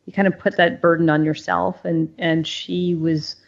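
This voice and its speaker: A middle-aged woman from northern Maine answers interview questions in a low, steady voice. She is thoughtful and halting and restarts her sentences often. The audio sounds dull and lo-fi, with faint music behind her. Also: gravelly. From northern Maine, USA. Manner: thoughtful, halting, many fillers. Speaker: CEO, podcast guest.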